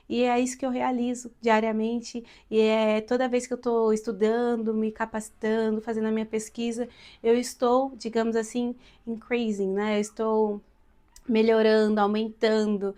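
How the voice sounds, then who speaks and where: Woman, late 20s, São Paulo accent, fairly high voice, chatty, encouraging, vlog. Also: darkish tone. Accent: São Paulo Brazilian Portuguese. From São Paulo, Brazil. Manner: chatty and encouraging, addresses viewers directly. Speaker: vlogger and Portuguese teacher. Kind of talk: casual advice vlog.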